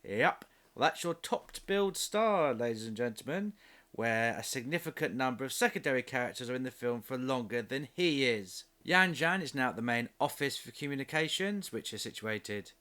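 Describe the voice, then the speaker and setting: Guy doing a comedy movie review, sarcastic n fed up, really animated voice. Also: medium pitch, normal speed, clean tone. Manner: sarcastic, exasperated. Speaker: film review host. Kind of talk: scripted comedic film review narration with ad-libs.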